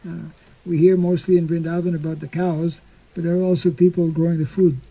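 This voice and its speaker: An older monk giving an informal scripture class outdoors into a stand mic, speaking slowly and haltingly and clearing his throat often. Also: a little high, level tone, mellow, poor audio. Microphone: stand mic in front.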